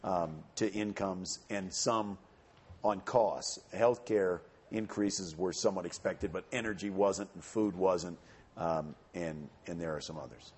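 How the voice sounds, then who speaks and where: A man on a panel answering audience questions in a measured, explanatory way. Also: low voice, darkish tone, husky. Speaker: panelist speaking for a campaign.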